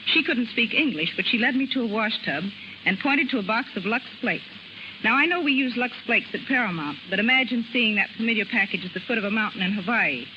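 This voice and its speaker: A woman tells rehearsed stories as a radio guest in a dry, wry way. The 1930s broadcast sounds dull, with clear background noise. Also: on the high side, expressive, fairly quick. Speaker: film script girl, radio guest.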